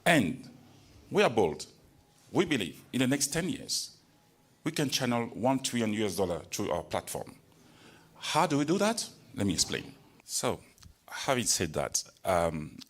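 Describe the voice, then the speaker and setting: A man, a company co-founder, giving a confident, measured presentation on a conference stage with a handheld microphone. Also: fairly low pitch, big pitch swings, a bit bright.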